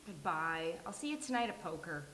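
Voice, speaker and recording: A woman's voice: lowish voice, very animated, brisk, a bit bright. Woman playing neurotic sitcom woman, dry teasing delivery, echoey room, faint hiss. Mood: brisk, bossy. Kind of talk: live sitcom table read. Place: live venue with studio audience. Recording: roomy live venue, faint hiss.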